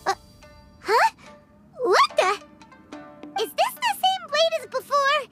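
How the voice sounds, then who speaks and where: A woman voicing a tiny, excitable, nosy fairy companion in an anime game, with squeaky, childlike, exclamatory delivery. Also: slow, exaggerated voice. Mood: puzzled, scornful. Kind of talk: scripted anime game voice acting. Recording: loud music over dialogue.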